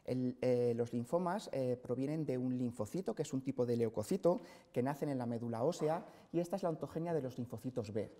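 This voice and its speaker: Middle-aged spanish doctor guy giving a lecture, calm and explaining, lots of "eh", big echoey hall. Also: mid-range voice. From Spain, Castilla-La Mancha. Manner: measured, explanatory, frequent "eh" fillers. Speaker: hematologist lecturer. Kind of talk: scientific seminar lecture. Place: hospital auditorium. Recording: long hall reverb from a lecture hall.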